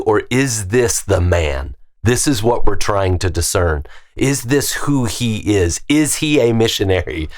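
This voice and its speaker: A West Virginian man with a very low, heavy voice speaks as a pastor in a podcast discussion. He is emphatic and drives his points home with rapid strings of rhetorical questions.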